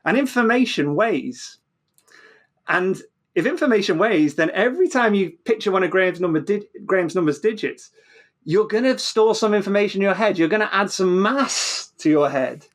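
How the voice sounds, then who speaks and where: A man's voice: high-pitched, expressive, normal speed. A man explaining science informally as an interview guest, often repeating words and restarting, checking in with "right?".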